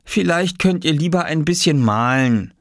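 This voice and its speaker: Man voicing a weary mother, raised pitch. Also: animated, normal speed, dry recording, clean studio sound. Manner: weary, pleading. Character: exhausted, unwell young mother pleading for quiet. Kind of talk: children's storybook character voice.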